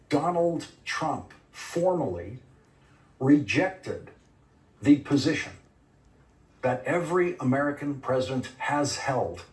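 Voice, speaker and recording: A man's voice: medium pitch, a little mellow. A man delivering grave, emphatic broadcast commentary. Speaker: TV political commentator. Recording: roomy, distant TV clip audio.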